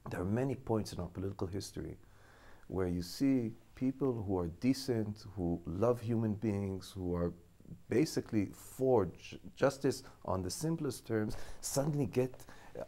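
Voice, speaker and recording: A man in his fifties who grew up in Israel, a school director speaking as an interview guest, thinking aloud with halting restarts. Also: on the low side, warm tone. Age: 50s. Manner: thoughtful, halting, restarts while reasoning. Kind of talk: studio interview.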